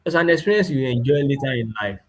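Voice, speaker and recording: A man's voice: medium pitch, lively intonation, fast. Man on a noisy call. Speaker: course alumnus. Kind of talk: informal congratulatory remarks. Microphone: video call microphone.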